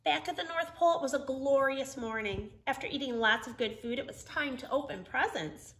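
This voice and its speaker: A middle-aged woman reading a children's story aloud in an expressive, emphatic storytelling manner. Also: high voice, brisk, a little hiss or hum. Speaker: storybook reader. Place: living room, indoors.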